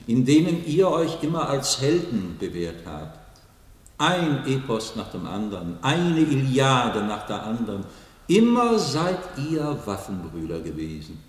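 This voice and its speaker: A solemn, godlike male figure in a theatrical radio drama. His delivery is slow and declamatory, with sweeping, dramatic changes of pitch. The voice sounds distant, in a large echoing hall. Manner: solemn, declamatory, rhetorical repetition. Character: godlike, majestic man addressing his old comrades. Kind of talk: theatrical German radio play acting. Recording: echoey hall with long reverb, distant mic.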